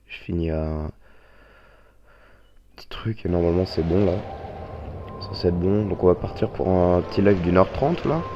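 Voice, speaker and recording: A man's voice: low voice, moderate pace, long pauses, muffled recording, background noise. A man with a head cold, chatting casually and hesitantly as he streams a game live. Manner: hesitant, chatty, thinking aloud. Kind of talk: casual gaming livestream commentary.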